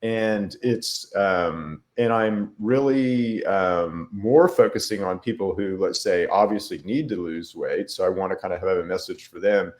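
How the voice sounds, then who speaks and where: Man, podcast host, very low voice, casual, halting, many restarts. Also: darkish tone. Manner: halting, lots of fillers and restarts.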